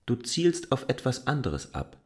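A shrewd, knowing man in a radio play. He probes pointedly, with extremely mobile intonation at a middle pitch. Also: huge pitch swings, unhurried. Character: shrewd, probing male stranger challenging the innkeeper. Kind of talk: German radio drama acting.

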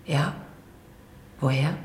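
A woman's voice: low voice, very slow, a little mellow, roomy sound. An actress playing a troubled woman writer in a radio drama, articulate, guarded and disillusioned about love. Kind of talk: naturalistic radio drama acting.

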